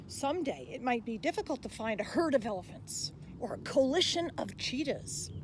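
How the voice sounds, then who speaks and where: A woman presenting a children's picture book in an expressive read-aloud style, speaking directly to young listeners. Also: high-pitched, moderate pace. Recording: clear background noise, animal sounds.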